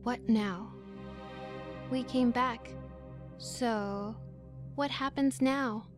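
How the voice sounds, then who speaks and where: A woman's voice: on the high side, drawn-out words, over music. A woman acting a young angel, distrustful and easily frightened, in a sci-fi visual novel. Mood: anxious.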